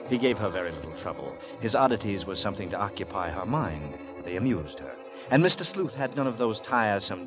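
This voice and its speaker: An actor as the measured, dryly wry storyteller narrating a 1940s radio suspense drama in a literary manner. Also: male voice, on the low side, low-quality recording. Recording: vintage radio, music behind.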